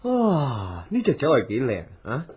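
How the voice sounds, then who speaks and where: An actor playing a jovial, hard-drinking chief of the guards in a Cantonese radio horror drama, hearty and insistent, with a Hong Kong accent. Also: male voice, mid-range voice, wildly swooping pitch, measured pace, slightly rough, exaggerated voice. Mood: jovial, tipsy. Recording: dull lo-fi radio drama recording.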